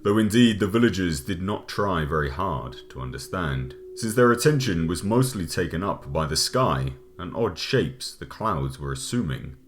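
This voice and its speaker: A man reads an old gothic tale as an audiobook narrator, solemn and measured, letting his phrases fall away at the ends. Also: low-pitched, lively intonation, a little hiss or hum. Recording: music behind the voice. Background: background music.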